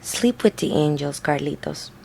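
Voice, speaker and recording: A woman voicing a tender, hardworking mother who speaks gently and earnestly to her child in a storybook. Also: deep voice, lively intonation, moderate pace, gravelly, a little hiss or hum, full character voice, low-quality recording. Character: tender, hardworking Mexican mother of a young boy.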